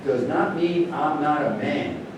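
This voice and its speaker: A man in a staged reading, playing a touchy, scoffing professional golfer with a defensive manner. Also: slow. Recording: echoey room, distant mic, noisy rumble.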